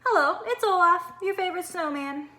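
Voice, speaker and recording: A woman voicing a cheerful, naive little male snowman who tells his storybook tale in a bubbly, expressive way. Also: extremely high pitch, a little fast, a little hiss or hum, voice pushed up. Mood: eager, friendly.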